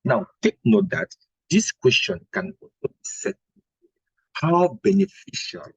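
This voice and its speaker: A man with a Cameroonian accent teaching an exam revision lesson in a didactic way, repeating phrases, with a husky, gravelly voice. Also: high voice, very animated, a little slow, no room sound, lo-fi sound. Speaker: history teacher.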